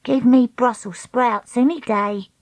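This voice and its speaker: Woman as a high-voiced, blunt little girl. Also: steady tone, unhurried, a little mellow, dry recording. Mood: disgusted. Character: young girl, blunt, wondering best friend sidekick.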